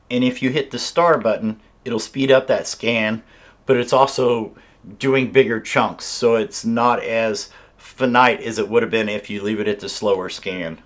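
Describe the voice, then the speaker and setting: A man giving a casual, conversational hands-on product demonstration, explaining things step by step. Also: medium pitch. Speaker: radio reviewer and host.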